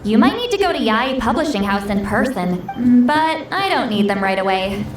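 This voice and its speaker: A woman's voice: on the high side, animated, normal speed, close to an everyday voice. An actress playing a proud, grumpy, reclusive shaman in a video game, aloof and grudging but secretly kind. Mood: weary, bossy. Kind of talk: video game character acting. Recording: roomy echo, music behind.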